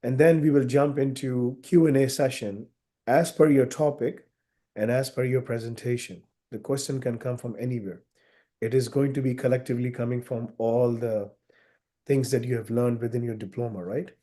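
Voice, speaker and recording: A man in his thirties speaks over a video call with a husky, slightly sick voice, clearing his throat often. His tone is dark and mellow, and he talks in a measured, hesitant way full of fillers, like an examiner explaining the rules of an exam. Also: mid-range voice, fairly even intonation. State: under the weather, husky voice. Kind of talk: formal exam briefing.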